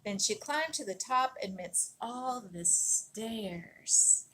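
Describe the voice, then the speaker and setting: A woman reading a children's picture book aloud in an expressive, dramatic storytelling manner, leaning on key words and drawing them out. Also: a little high, takes it slowly, slight background noise. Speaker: storybook reader.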